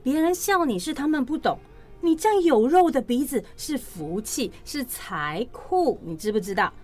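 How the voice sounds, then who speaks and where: A woman's voice: sits high, animated, normal speed, a little mellow, soft background music. A woman playing a schoolgirl's exasperated mother in an audio drama, nagging and scolding.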